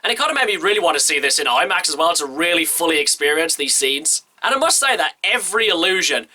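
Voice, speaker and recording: A man's voice: fairly high pitch, big pitch swings, pushed and bright. A young man with a stuffy nose from a cold, giving a chatty, enthusiastic film review as a vlog host. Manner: chatty and enthusiastic, quick run-on sentences. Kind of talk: casual movie review to camera.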